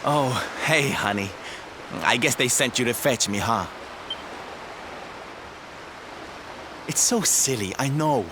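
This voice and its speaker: A man's voice: medium pitch, expressive, moderate pace, background noise, lightly acted. An actor playing a flamboyant, sensitive male circus performer in a video game, camp and dramatic in his delivery. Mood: nervous, confiding. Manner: camp, dramatic, sighing, coy. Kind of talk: video game character acting.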